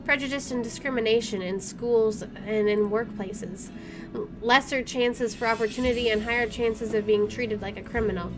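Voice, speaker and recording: A woman with a fairly high voice hosts a let's play of a story game. She reads narration aloud and drops in casual comments, with game music clearly behind her. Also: fairly even intonation, moderate pace, rough recording. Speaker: gaming video host.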